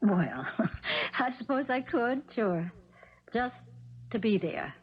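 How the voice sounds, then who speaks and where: An actress playing a gentle, uneasy stepmother in a 1970s radio drama, modest and apologetic in her manner. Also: a little high, sing-song, takes it slowly, husky, heavily acted. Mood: modest, agreeable. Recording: muffled vintage radio broadcast recording.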